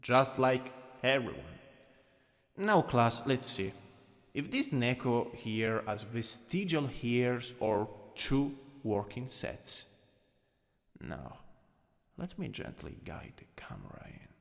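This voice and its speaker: Male actor as teasing anatomy teacher, Italian accent, lively swooping pitch, ASMR roleplay, unhurried, softly commanding. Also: creaky, heavily acted. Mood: hushed, coaxing. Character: lowkey dominant, teasing anatomy lecturer showing off an assistant. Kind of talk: ASMR roleplay, lecturing to a class. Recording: long reverberant hall echo.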